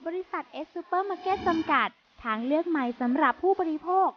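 A young woman presents a TV segment in a high, bright voice, polite and chirpy, speaking from inside a supermarket. A clear hiss of background noise sits under her words, with store chatter around her. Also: expressive, normal speed, muffled recording. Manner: polite, chirpy, frequent soft polite particles. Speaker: TV show host.

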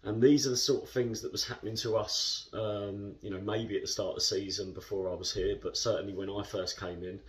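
Low-voiced captain, chatty and measured. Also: male voice, fairly even intonation, far from the mic. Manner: chatty, measured, lots of fillers. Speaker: football club captain. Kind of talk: post-match interview. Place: indoor club room.

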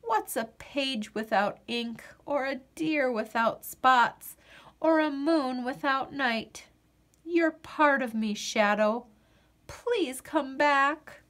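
Woman as a young storybook girl, raised pitch, very slow phrasing, theatrical, earnest, emotional. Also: fairly bright tone. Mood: tender, earnest.

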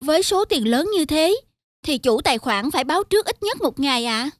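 A woman's voice: sits high, sing-song, a little fast, a little hiss or hum. A woman voicing an officious young bank teller in a cartoon, speaking with formal customer-service politeness. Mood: apologetic, deferential. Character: officious young female bank teller, dismissive then deferential. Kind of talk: cartoon dubbing. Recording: music under the voice.

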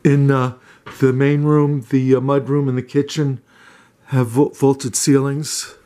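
Man, homeowner type, flat monotone delivery, halting with lots of "uh"s, casual camera-held house tour. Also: medium pitch. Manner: hesitant, many "uh" fillers and restarts. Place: small wood-paneled cottage indoors.

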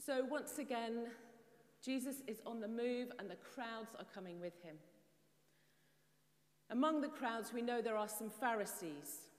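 A middle-aged woman preaching from a church pulpit in a measured, explanatory way, asking the congregation questions. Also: a little high. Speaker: priest. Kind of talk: sermon. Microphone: far camera. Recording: long church reverb.

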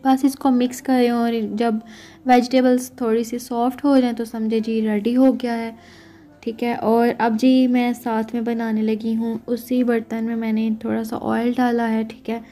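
A woman's voice: on the high side, little pitch movement, normal speed, mellow. A young woman chatting through a home cooking recipe, often checking in with her viewers as she goes. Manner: chatty, keeps saying "theek hai" to check in with viewers. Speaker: cooking vlogger. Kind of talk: casual cooking narration.

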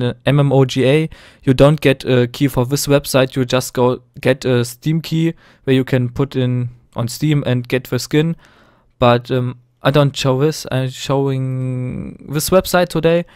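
A man's voice: mid-range voice, a little mellow, clean tone, dry recording. Young german guy doing his first english tutorial, nervous, lots of ums, clean dry sound. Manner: nervous, hesitant, many fillers and restarts. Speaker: gaming tutorial host. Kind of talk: screen-recorded tutorial narration.

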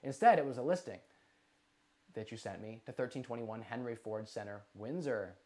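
A man in his twenties hosting a live investing question-and-answer stream from a living room, energetic and gesturing, with lots of "like". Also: mid-range voice, moderate pace. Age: 20s. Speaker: livestream host. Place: living room with a couch, indoors.

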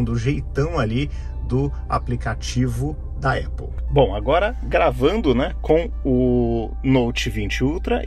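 Middle-aged Brazilian male tech vlogger, casual and explanatory, medium pitch, recorded on a phone in a car with faint music. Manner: conversational, explanatory, frequent tag questions. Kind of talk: casual hands-on product demonstration. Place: inside a parked car. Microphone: phone camera microphone, no external mic.

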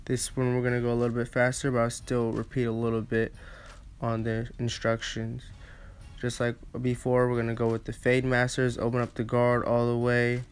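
Casting: male barber for a tutorial voice-over, smooth medium-pitched voice, flat casual delivery, slightly halting phrasing. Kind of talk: casual step-by-step instructional narration.

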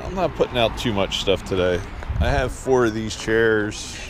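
A man's voice: on the low side, lively intonation, normal speed. A man selling at an outdoor flea market, thinking aloud and chatting casually with customers while filming himself. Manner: rambling, thinking aloud with fillers. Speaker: flea market vendor vlogger. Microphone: body-worn action camera. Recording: outdoor camera audio with loud noise. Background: loud outdoor ambient noise.

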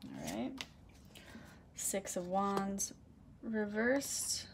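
A woman giving a casual tarot reading to camera, soft-spoken with a low, husky voice that is starting to give out, often asking "okay?"; recorded indoors on a faulty microphone. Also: wildly swooping pitch, extremely slow, a little hiss or hum. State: losing her voice, clearing throat. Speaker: tarot reader. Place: indoor room, red curtains and candles on a table. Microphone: broken microphone, quiet.

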